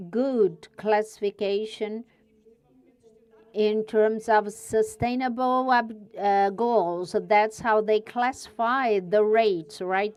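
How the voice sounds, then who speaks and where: Woman, European Portuguese accent, researcher at a conference podium, smooth voice, unhurried delivery. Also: mid-range voice, a little mellow. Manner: careful, searching for words. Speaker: guest speaker, university researcher. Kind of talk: conference presentation.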